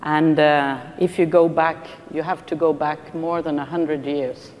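A middle-aged Norwegian woman on a conference panel, speaking deliberately with frequent pauses. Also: fairly even intonation, darkish tone. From Norway. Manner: deliberate, hesitant with many uh pauses. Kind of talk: panel discussion. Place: large conference hall stage. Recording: reverberant hall, faint rumble.

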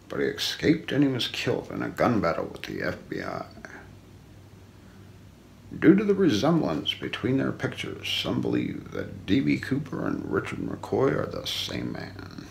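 A man in his forties doing an ASMR role play, narrating an unsolved mystery script in a hushed, deliberate way with trailing phrase ends. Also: on the low side, huge pitch swings, creaky. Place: small indoor room. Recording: faint background noise.

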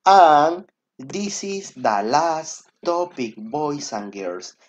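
A man's voice: fairly high pitch, animated, drawn-out words. A Peruvian man in his twenties, a native Spanish speaker, teaching schoolchildren in a recorded lesson with encouraging, simply repeated instructions. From Peru. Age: 20s. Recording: dull, lo-fi screen-recording audio.

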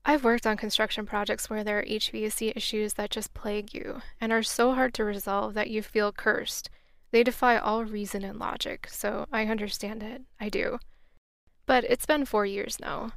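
A woman's voice: mid-range voice, steady tone, moderate pace, creaky, no echo. A woman hosting a podcast, reading scripted travel narration in a reflective, wry, earnest way, on a close mic. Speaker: podcast host.

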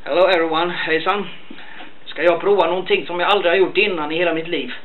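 A man's voice: fairly high pitch, steady tone, phone-quality sound. A young man talking casually to a camera in an indoor room. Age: young adult. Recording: amateur camera, faint background noise.

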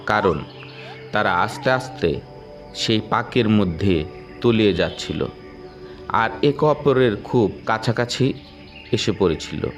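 A man narrating a children's moral story in a measured, moralizing way. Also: mid-range voice. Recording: music under narration.

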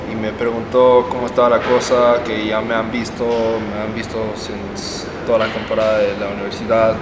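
Young texan guy, halting w/ lots of "ah", sounds far off in an echoey noisy room. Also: mid-range voice. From El Paso, Texas, United States. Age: young adult. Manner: halting, with "ah" fillers and English words slipping in. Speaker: basketball player, interviewee. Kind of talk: casual interview answers. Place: indoor room, seated at a table. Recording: echoey room, distant mic, noise.

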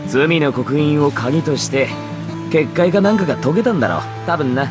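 An actor voicing a cocky young outlaw in a Japanese game, with a rough, sardonic, swaggering way of talking. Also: male voice, a little high, expressive, normal speed, over loud music, lightly acted. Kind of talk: Japanese anime game voice acting.

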